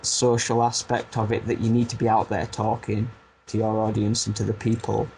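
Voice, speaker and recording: A man's voice: fairly low pitch, completely flat delivery, moderate pace, gravelly, a little mellow, a little hiss or hum, low-quality recording. A man in his thirties with a Barnsley Yorkshire accent, a music producer turned coach, chatting casually in an interview and talking in loose, self-deprecating restarts. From Barnsley, England. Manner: rambling, self-deprecating, frequent restarts and fillers.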